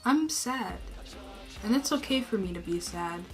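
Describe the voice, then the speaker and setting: A teenage girl from New York State talking to the camera in her bedroom, speaking low and subdued, self-conscious and rambling with many fillers. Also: animated, unhurried, soft background music. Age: teens. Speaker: vlogger. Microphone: camera in her room.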